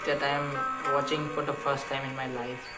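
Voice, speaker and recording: A man's voice: medium pitch, flat delivery, moderate pace, poor audio. A man from India, Gujarati by birth, chatting casually and hesitantly as he reacts to a video. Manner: chatty, hesitant with fillers, self-deprecating. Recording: music playing loudly behind. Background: video music.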